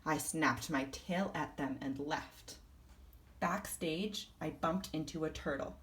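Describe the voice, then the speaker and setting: A woman reading a children's story aloud in first person, expressively and deliberately, acting out the tale. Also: deep voice, fairly bright tone. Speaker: storybook reader.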